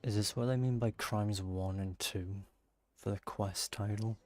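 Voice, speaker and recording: A man giving casual, off-the-cuff commentary as he plays a video game, with a husky, gravelly voice. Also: fairly low pitch, steady tone, a little slow, darkish tone. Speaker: gaming streamer. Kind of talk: casual play-along commentary.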